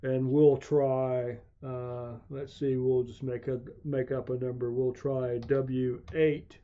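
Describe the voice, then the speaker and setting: A man giving an unscripted screencast tutorial, haltingly thinking aloud as he demonstrates software. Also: medium pitch, little pitch movement. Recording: dull, lo-fi voice recording.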